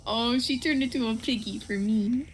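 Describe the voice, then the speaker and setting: A woman's voice: on the high side, normal speed, faint music behind, low-quality recording. A woman co-hosting a game livestream, chatting casually and playfully as she guides the play. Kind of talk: casual chat while guiding a game.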